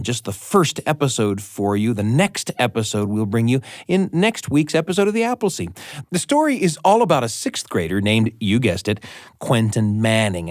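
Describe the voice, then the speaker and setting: A man hosting a storytelling podcast, introducing stories in an animated scripted narration. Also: medium pitch, no echo, studio quality. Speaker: podcast host.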